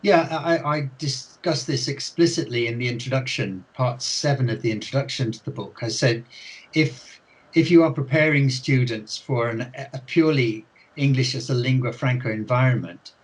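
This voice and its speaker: A man's voice: mid-range voice, moderate pace, low-quality recording. An older man, an author being interviewed, talking thoughtfully with many restarts and hesitations. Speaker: author and ELT expert guest. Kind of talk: unscripted interview talk.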